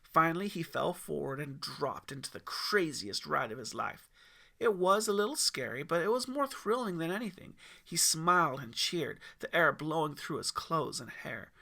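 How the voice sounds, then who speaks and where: Male audiobook narrator, expressive storytelling, creaky bright voice. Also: fairly high pitch, normal speed.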